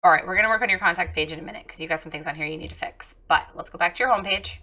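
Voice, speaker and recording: Woman reviewer, hoarse and froggy, bright forceful delivery, candid coaching tone. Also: fairly low pitch, very fast. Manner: chatty, frank, encouraging with filler ums. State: hoarse, recovering from lost voice. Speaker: website reviewer giving feedback. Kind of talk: casual screen-share critique.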